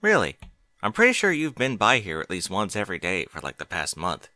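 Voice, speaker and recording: A man's voice: sits low, wildly swooping pitch, fairly quick, sharp tone, dry recording, studio quality, heavily acted. A man voicing a young male dragon in an audiobook, chatty and colloquial, a little unsure of himself. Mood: casual, teasing. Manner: colloquial, hesitant, questioning. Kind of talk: audiobook character dialogue.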